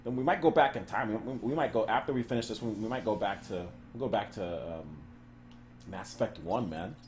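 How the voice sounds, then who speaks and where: A man's voice: on the low side, fairly quick, darkish tone, slight background noise. Laid-back laughing male streamer. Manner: laid-back, laughs often, talks to chat. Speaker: game streamer. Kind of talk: casual live-stream chat.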